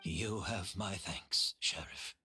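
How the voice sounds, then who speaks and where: A frail old man in a video game, shrewd and composed. His voice is very husky and breathy with a bright edge, and he speaks slowly and wearily. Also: low voice, soft background music, heavily acted. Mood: measured. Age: older. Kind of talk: video game dialogue acting.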